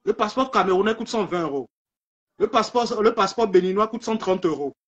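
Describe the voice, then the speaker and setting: A man's voice: sits high, lively intonation, fast talker, fairly bright tone, highs cut off. A man from Togo joining a live debate, insistent and repeating his points.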